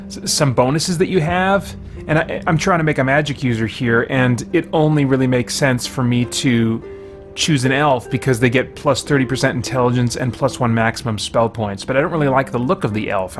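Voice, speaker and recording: Candid man reacting to a video game. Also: mid-range voice. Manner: candid, conversational, thinking aloud. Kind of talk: casual first-impressions gameplay commentary.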